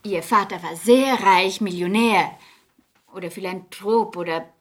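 An actress playing a kindly, gossipy older society hostess in a 1960s German radio play, genteel and effusive. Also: female voice, mid-range voice, measured pace, natural voice. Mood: chatty, genteel.

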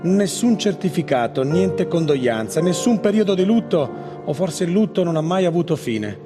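A man's voice: fairly high pitch, sing-song, moderate pace, music in the background. A man playing an anguished young woman haunted by war loss, in an intense theatrical monologue. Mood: bleak, fearful. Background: musical instruments.

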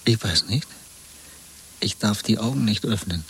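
An actor playing a weary, wary dissident lover in a 1970s German radio drama, with a husky voice and a measured, resigned delivery. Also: male voice, fairly low pitch, a bit bright, slight background noise, rough recording. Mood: subdued. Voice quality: husky, breathy.

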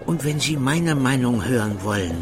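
A man's voice: medium pitch, expressive, normal speed, close to an everyday voice. A man acting a polite, earnest acquaintance in a radio drama, deferential and groping for the right words. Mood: hesitant, confiding. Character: hesitant, polite, earnest male acquaintance of the doctor. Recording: clear music behind the voice.

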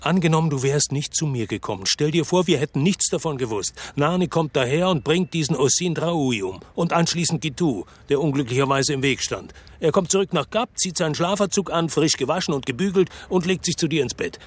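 Husky, insistent investigator. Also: male voice, fairly high pitch, expressive, a little fast, few pauses. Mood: accusing, sardonic. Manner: insistent, controlled, questioning. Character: tense, probing male investigator, protective of his cousin. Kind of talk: naturalistic radio drama dialogue.

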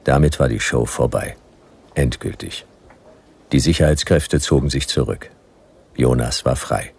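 A sardonic future private eye in a radio play. The voice is extremely low, creaky and husky. He tells the story in short, dry, weary phrases. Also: measured pace, a little mellow, heavily acted. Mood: deadpan. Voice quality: gravelly, raspy. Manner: terse, laconic, dry. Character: world-weary, sardonic hard-boiled private detective in dystopian future. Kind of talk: noir first-person narration in radio drama.

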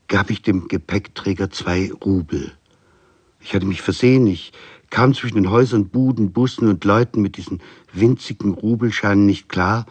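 A man's voice: fairly low pitch, husky. A man giving a dramatic reading of literary prose as a narrator. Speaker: reader of literary texts.

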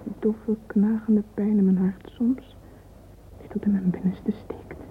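An actress playing a dreamy, lovesick young woman in a radio play, thinking aloud in a husky, yearning voice. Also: mid-range voice, level tone, a little slow, a little hiss or hum, lightly acted. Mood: wistful, hurt. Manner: wistful, yearning. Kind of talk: radio drama interior monologue. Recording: muffled, phone-like radio play recording.

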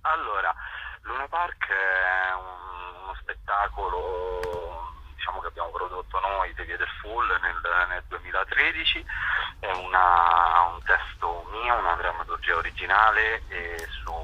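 A man interviewed on radio, reflective and halting, with many false starts. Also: sits low, pushed and bright, breathy edge, no echo, highs cut off. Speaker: author and actor interviewed as guest.

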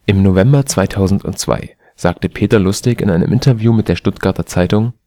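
Low, dark-voiced German man reading. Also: a little fast, no room sound, studio quality. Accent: standard German. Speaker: volunteer reader of a spoken encyclopedia article. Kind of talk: scripted read-aloud narration.

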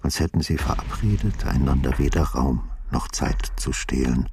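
Sombre old-school detective, super deep gravelly voice, radio drama with music. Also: male voice, lively intonation, normal speed, a little mellow, a little hiss or hum. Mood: sombre, brooding. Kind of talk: radio drama acting, brooding narration.

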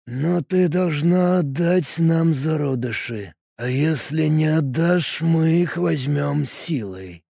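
Male reader doing a zealot cultist: slow, rasping, hushed, preachy. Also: animated, no echo, studio quality, heavily acted. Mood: solemn preaching. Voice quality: low, creaky, husky murmur. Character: fanatical male cult adept, calm, solemn. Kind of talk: audiobook character voice.

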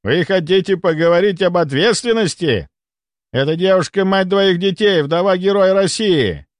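A man's voice: high-pitched, normal speed, dry recording, studio quality, pitched above the performer's own voice, natural voice. Male narrator as furious aristocrat, commanding, barking, threatening, raised voice, audiobook drama. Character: imperious angry count, commanding man used to giving orders.

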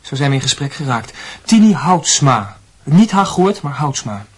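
An actor playing an awkward, inquisitive young medical student and skeptical son in a Dutch radio drama, blunt and sometimes stammering. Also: male voice, mid-range voice, big pitch swings, moderate pace, slightly rough. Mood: casual, curious. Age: young adult.

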